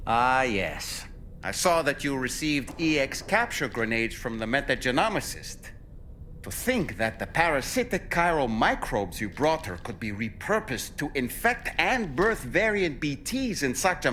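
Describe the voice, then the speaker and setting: A man's voice: medium pitch, sharp tone, slight background noise. A man playing a refined, courteous companion in a video game, speaking in formal, wordy and earnest sentences. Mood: impressed. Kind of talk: scripted game dialogue.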